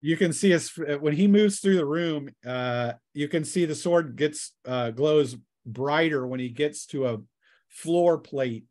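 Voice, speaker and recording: A man's voice: on the high side, a little slow, a little mellow, no echo, studio quality. A man acting as game master, casually describing scenes and rulings in a tabletop fantasy game.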